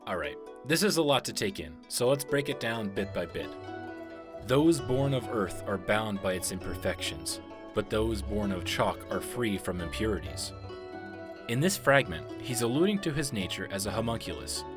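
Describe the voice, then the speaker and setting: A man's voice: on the low side, expressive, moderate pace. A man delivering scripted explanatory narration as a video essayist on game lore. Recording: voice-over with prominent music. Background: music.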